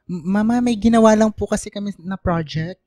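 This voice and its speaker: Mellow effeminate Filipino teenage boy played by a woman. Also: mid-range voice, fairly quick, no room sound, close to an everyday voice. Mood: nervous, stammering. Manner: gentle, reassuring, code-switching into English. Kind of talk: radio drama acting. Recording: dry studio with faint music.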